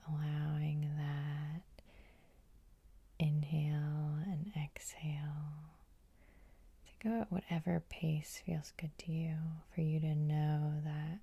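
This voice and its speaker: A woman hypnotherapist guiding sleep hypnosis in a soothing, unhurried, breathy ASMR whisper, close to the microphone. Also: sits low, dark tone, no echo.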